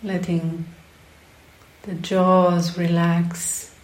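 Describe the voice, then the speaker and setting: A woman leading a guided meditation as a teacher, speaking in short, unhurried phrases with long pauses between them. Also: fairly low pitch, flat delivery. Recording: roomy, echoing sound.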